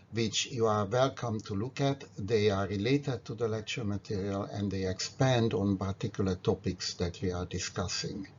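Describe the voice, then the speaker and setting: An older man from Hungary with a Hungarian accent, giving a formal, measured university lecture over slides. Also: lowish voice, fairly bright tone. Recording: dry, dull lo-fi recording.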